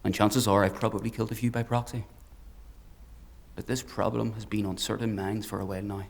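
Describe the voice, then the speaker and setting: Low-voiced, menacing, mocking villain. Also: male voice, little pitch movement, creaky. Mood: cold menace. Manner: theatrical, deliberate, taunting, dropping to whispers. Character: menacing male fanatical hunter, coldly articulate, mocking. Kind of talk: dark fantasy audio drama acting.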